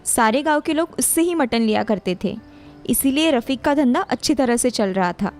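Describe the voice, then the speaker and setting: Woman, storyteller, high-pitched voice, scripted story narration, faint music underneath. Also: animated, moderate pace, a little mellow. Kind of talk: scripted moral-story narration for animated tales.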